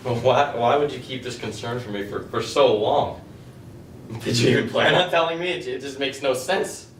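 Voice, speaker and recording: A man's voice: mid-range voice, normal speed, a bit bright, slight background noise, close to an everyday voice. A young man acting in a stage play as James, a partner caught off guard, stammering through defensive questions, heard from far off in an echoing room. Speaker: student actor. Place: school stage. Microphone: distant audience camera. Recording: echoey stage recorded from far off.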